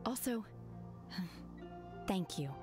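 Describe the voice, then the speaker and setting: An actress playing an aloof, stoic young woman in a video game, soft-spoken, restrained and bluntly serious. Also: mid-range voice, animated, very slow, music in the background. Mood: cool, restrained. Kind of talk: English video game voice acting.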